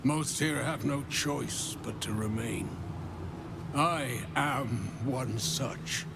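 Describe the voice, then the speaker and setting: An actor playing a weary, dignified damned architect in a fantasy game, solemn and halting, often sinking to a near-whisper. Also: male voice, mid-range voice, gravelly, heavy background noise. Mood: grim resignation. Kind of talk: dramatic video game acting. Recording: prominent music behind the voice.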